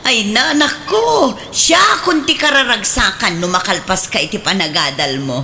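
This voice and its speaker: Doting chatty middle-aged mom, radio comedy drama, sharp bright voice, very animated. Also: female voice, medium pitch, measured pace, a little hiss or hum.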